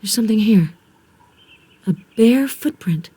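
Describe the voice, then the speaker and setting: An actress playing a composed, determined woman investigator in a video game, speaking in a measured, matter-of-fact way. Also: fairly high pitch, expressive, mellow, breathy edge, no room sound. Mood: focused, observant. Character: determined, composed female federal investigator in the field.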